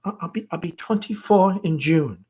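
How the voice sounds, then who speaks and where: A man reading the part of a shy, flustered young woman in a play, halting and apologetic. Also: high-pitched, mellow, pitched above the performer's own voice, natural voice. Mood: timid, strained. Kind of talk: amateur play read-aloud.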